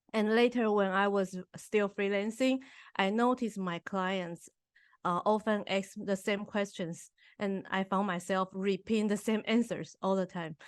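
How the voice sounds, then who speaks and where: A woman from Taiwan with a Mandarin accent speaks English at an everyday pitch. She talks carefully, often stopping on "uh" and restarting a word. The sound is close and dry, with no room echo. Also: smooth. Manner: careful non-native English with frequent "uh" and restarts. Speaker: podcast guest. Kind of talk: casual interview.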